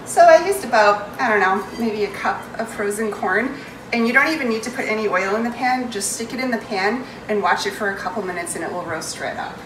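A woman's voice: medium pitch, animated, a little fast, background noise. A woman in her forties with a New England accent, chatting casually and joking about herself as she walks through a chili recipe in her home kitchen. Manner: chatty and self-mocking, talks while stirring and pouring. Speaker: home cook hosting a cooking video. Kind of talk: casual cooking demonstration. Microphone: far camera on a tripod. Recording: roomy kitchen audio from a distant camera. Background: food sizzling in a pan.

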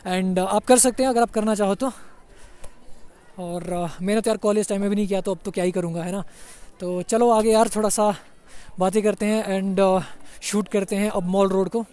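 A man's voice: sits high, steady tone, moderate pace, a little mellow, smooth. A young man, giving a chatty walking-tour commentary outdoors on a busy street, slipping English words into his talk. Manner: chatty, says "yaar" a lot, mixes in English. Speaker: travel vlogger.

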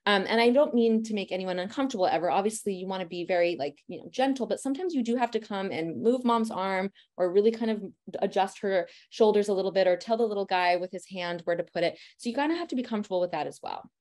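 A woman in her thirties, a family photographer, giving a lecture on posing in a lively, direct and encouraging way. She talks a lot and has a loud voice. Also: medium pitch, fast talker, few pauses. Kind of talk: presentation lecture.

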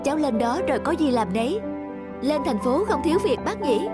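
A woman's voice: high-pitched, very animated, fairly quick, over loud music. A woman voicing a polite, naive, earnest young man in a moral-tale cartoon. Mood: polite, hopeful. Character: earnest, polite young male high-school graduate.

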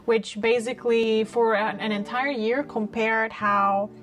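A woman's voice: a little high, steady tone, unhurried. A woman in her late twenties with a Czech accent, a native Czech speaker, talking informally and reflectively as an interview guest. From Czech Republic, small town. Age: late 20s. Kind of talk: casual conversational interview. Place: small bright living-room style set, indoors.

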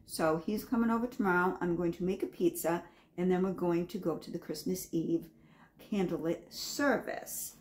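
A woman's voice: on the low side, moderate pace, darkish tone, slight background noise. A woman in her fifties chatting casually to camera in her kitchen, rambling a little and repeating herself as she goes. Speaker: home vlogger showing a grocery haul. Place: home kitchen, indoors.

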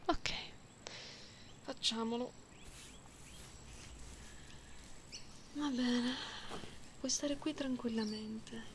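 Casting: woman playing playful, affectionate cat-girl for ASMR roleplay. Unhurried, soft, soothing, gravelly fry; noisy close binaural recording. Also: a little high, steady tone. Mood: tender, soothing. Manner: soft, teasing, soothing, slipping into whispers.

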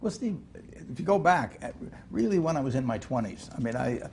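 An older man talking as an interview guest, halting with many restarts and chuckles, in a studio set like a living room. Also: mid-range voice, sing-song, darkish tone, a little hiss or hum. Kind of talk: casual interview talk.

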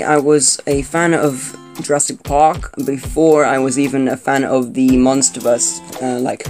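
A young man from Colombia chats through a toy unboxing at a table, hesitant and rambling with frequent ums and restarts. His voice sits at an ordinary middle pitch. Guitar music plays clearly underneath the camera-mic sound. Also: a little mellow. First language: not Spanish. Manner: chatty, hesitant, many fillers and restarts. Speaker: toy reviewer. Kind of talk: casual unboxing review. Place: indoor room at a table.

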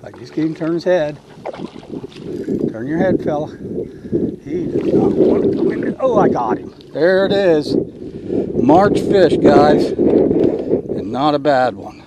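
A middle-aged man sits in a kayak on open water, thinking aloud as he reels in a fish. The sound comes from a camera clipped to the boat. Steady wind roars across the mic the whole time. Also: fairly high pitch, slow, long pauses. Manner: excited, talking to himself while fighting a fish. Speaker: kayak angler. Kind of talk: live on-the-water commentary. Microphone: action camera mounted on the kayak. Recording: wind noise on action camera.

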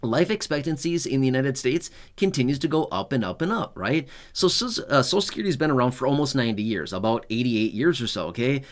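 A man's voice: mid-range voice, fast, few pauses. Man in his forties, talking-head vlog host, conversational, reassuring, repeats himself, small indoor room. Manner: conversational, keeps checking in with "okay?" and "right?"; repetitive, reassuring, animated delivery. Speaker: YouTube channel host. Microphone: fixed camera at chest-up framing.

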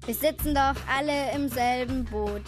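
A woman's voice: high-pitched, moderate pace, faint music behind, close to an everyday voice. A woman playing a playful young girl on a children's show.

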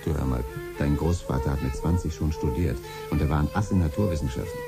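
A man's voice: a bass voice, fast talker, music in the background. A man acting an impatient, scheming husband and father in a 1970s German radio drama, speaking colloquially in a hesitant, pressing way. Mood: irritated. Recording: slightly noisy 1970s radio recording with hum.

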